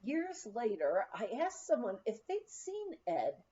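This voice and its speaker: A woman's voice: on the high side, slow pace. A woman reading a first-person memoir aloud, telling the story expressively. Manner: expressive, acts out quoted dialogue. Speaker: audiobook reader of a memoir. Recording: slightly dull, lo-fi sound.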